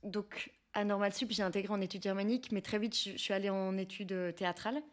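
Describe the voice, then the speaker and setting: A woman's voice: mid-range voice, steady tone, quick pace. A young woman with a French accent, answering interview questions in a reflective, conversational way with some hesitation. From France. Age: young adult. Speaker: guest, researcher, teacher and actress.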